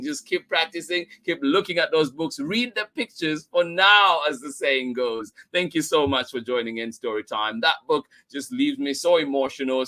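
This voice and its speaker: A man with a slightly high, bright and forceful voice hosts a live children's story time. He speaks directly to his viewers in an effusive, encouraging way, often repeating words for emphasis. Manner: emphatic repetition of words and phrases. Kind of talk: live-stream sign-off, addressing viewers.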